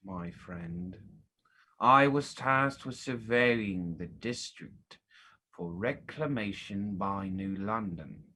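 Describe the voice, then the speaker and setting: A man's voice: on the low side, sharp tone, exaggerated voice. A man playing a stern, theatrical general. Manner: grave, deliberate, theatrical. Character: stern, vengeful military general haunted by a lost child. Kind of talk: dramatic stage play reading.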